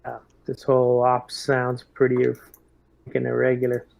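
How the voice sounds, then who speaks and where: A man in a tabletop roleplaying game, speaking as a lieutenant in deliberate, hesitant military talk. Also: medium pitch, completely flat delivery, creaky, a little mellow, highs cut off.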